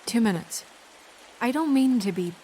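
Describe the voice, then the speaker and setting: A woman playing an earnest, composed official in a dark fantasy video game, speaking in a measured, diplomatic way. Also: fairly high pitch, animated, natural voice. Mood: troubled. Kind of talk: dramatic video game acting. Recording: faint rain noise behind the voice.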